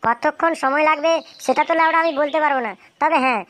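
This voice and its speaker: A woman's voice: high voice, quick pace, noisy background, lightly acted. A woman voicing a gloating, bullying male villain in a comedy cartoon, taunting and crude.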